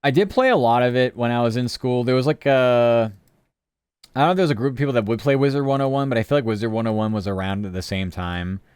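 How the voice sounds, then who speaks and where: A man's voice: medium pitch, quick pace, a little mellow, smooth, dry recording, clean studio sound. A man streaming live, chatting casually and rambling from topic to topic while answering his chat.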